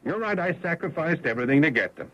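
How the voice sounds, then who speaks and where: An actor playing a middle-aged ex-husband in a radio drama, desperate and pleading, stammering with emotion. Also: male voice, fairly high pitch, lively intonation, quick pace, fairly bright tone. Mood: bitter, desperate. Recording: tinny, band-limited radio drama recording.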